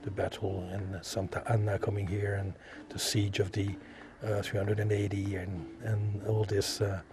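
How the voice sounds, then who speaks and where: A man from France with a French accent, answering interview questions as an artist, halting and repeating himself as he searches for words. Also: fairly low pitch, level tone, music in the background. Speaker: visual artist being interviewed.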